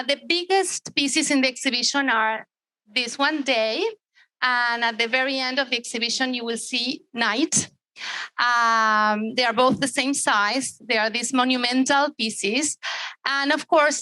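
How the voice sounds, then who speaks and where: Bright-voiced woman, dry sound. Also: high voice, measured pace, dry recording, rough recording. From Argentina. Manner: reflective and explanatory, with fillers and restarts. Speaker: exhibiting artist. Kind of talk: explaining her artwork on a gallery walkthrough.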